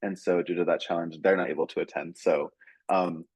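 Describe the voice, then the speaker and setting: A man's voice: low-pitched, fairly even intonation, a little fast, darkish tone, slightly rough, dry recording. A man moderating an online panel, introducing speakers and reading questions in a friendly, organized way with frequent "um"s. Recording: dull, dry, lo-fi call audio.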